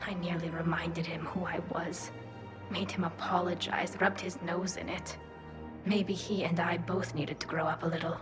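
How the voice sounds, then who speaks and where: A woman's voice: lowish voice, little pitch movement, moderate pace, echoey room, music in the background, natural voice. A woman voicing a resilient young alien in a video game, reflective and conversational. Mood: wry, forgiving.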